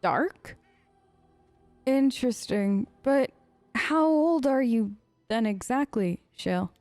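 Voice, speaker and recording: A woman hosting a let's play, improvising in-character dialogue in a chatty, expressive, reactive way. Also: fairly high pitch, takes it slowly, no room sound, soft background music. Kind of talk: improvised in-character role-play over a game.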